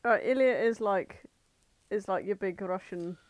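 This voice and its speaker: A woman's voice: medium pitch, measured pace, dry recording. A woman co-hosting a podcast, chatting casually and comedically in a blunt, irreverent way. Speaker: podcast co-host.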